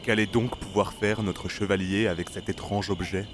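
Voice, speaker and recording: A man's voice: fairly low pitch, fairly even intonation, normal speed, background noise. A man narrating a scripted fantasy audio drama as a storyteller. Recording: loud music under the voice.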